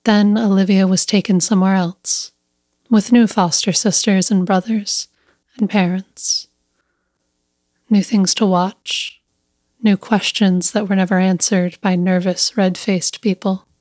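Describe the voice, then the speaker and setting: A woman reading a scripted literary story as narrator, measured and deliberate, pausing between short phrases. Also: mid-range voice, fairly even intonation, darkish tone, no echo, studio quality.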